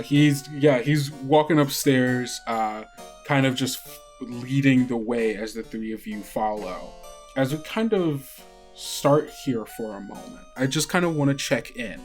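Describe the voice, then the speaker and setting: A man working as game master, narrating an improvised tabletop story in a conversational, scene-setting way. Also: mid-range voice.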